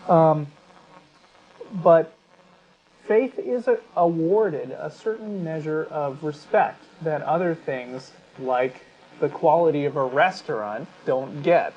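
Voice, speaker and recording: Guy co-hosting a talk show, kinda high voice, argues and keeps correcting himself, muffled audio. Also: a little mellow. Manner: halting, self-correcting, argumentative. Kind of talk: unscripted talk show commentary. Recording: dull sound with clear background noise.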